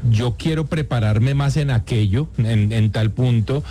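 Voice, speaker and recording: A man's voice: mid-range voice, measured pace, warm tone. A man with a Colombian accent talking casually and emphatically on a radio panel, in colloquial speech.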